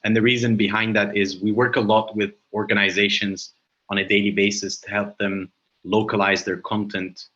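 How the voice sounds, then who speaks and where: A man's voice: on the low side, flat delivery, moderate pace, sharp tone, highs cut off. A man whose first language is Arabic, giving a webinar presentation over a video call and explaining with frequent hesitations and fillers.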